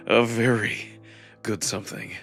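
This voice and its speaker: A man performing dramatic first-person narration from a scripted story, with expressive, emotional emphasis. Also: on the low side, slow, gravelly. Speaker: narrator and voice performer of a visual novel. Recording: music behind the voice. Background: music.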